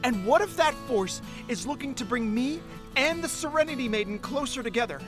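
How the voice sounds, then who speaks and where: A man acts an earnest ordinary guy in an audio play. He is emotional and very animated, hesitating and then insisting. Clear music plays behind him. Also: extremely high pitch, a little fast. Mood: nervous, pleading. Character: earnest, lovestruck ordinary guy, stubbornly determined. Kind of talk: amateur audio drama acting.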